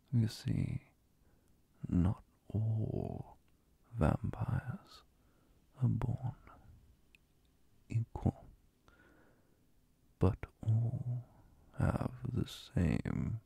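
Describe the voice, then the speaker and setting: A man voicing a calm, courteous, scholarly vampire inquisitor in a soft-spoken ASMR roleplay, breathy and gravelly, with measured, formal speech and whispers. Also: on the low side, no room sound, full character voice. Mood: quietly fascinated.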